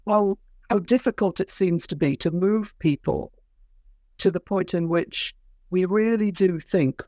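A woman's voice: sits low, darkish tone, no room sound. A woman, an author being interviewed, who answers thoughtfully and hesitantly with many fillers and restarts. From Britain. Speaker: author and guest.

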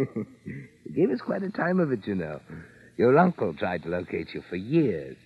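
Avuncular older lawyer from old radio, deep voice, swoops around, repeats himself, muffled recording. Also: male voice, wildly swooping pitch, a little slow, gravelly, exaggerated voice. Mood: genial, probing. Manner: avuncular, repeats himself, trailing tag questions. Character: genial older male lawyer, chatty but quietly probing. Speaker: radio drama actor. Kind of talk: old-time radio acting.

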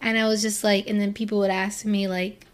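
A young woman from Florida talks straight to her viewers in a loose, rambling way. Her sentences circle back and restart, full of "like" and "you know." Her voice sits at an ordinary middle pitch. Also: flat delivery, a little fast. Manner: chatty, rambling, many "like" fillers and restarts. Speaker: vlogger. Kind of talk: casual personal talk to camera.

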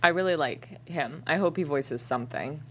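A woman's voice: low voice, normal speed, fairly bright tone, husky. A woman hosting a casual entertainment news chat, talking in a giggly way with lots of fillers. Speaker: host.

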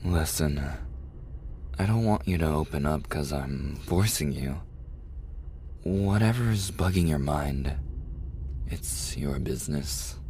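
A man playing a caring, protective male boss in an intimate boyfriend ASMR roleplay, speaking softly and gently. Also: rumbling low, takes it slowly, long pauses, slight background noise, full character voice. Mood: serious, caring. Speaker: voice actor in boyfriend audio roleplay.

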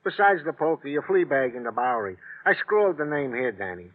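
An actor playing a wordy, sentimental police detective with florid, oddly poetic phrasing in an old radio drama. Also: male voice, medium pitch, sing-song, fairly quick. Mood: dutiful reporting. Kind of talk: old-time radio acting. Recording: tinny, band-limited vintage radio recording.

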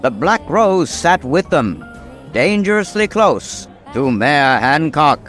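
A man's voice: fairly high pitch, very animated, slow pace, a bit bright, faint music behind, heavily acted. A man delivering scripted, dramatic narration for a radio drama.

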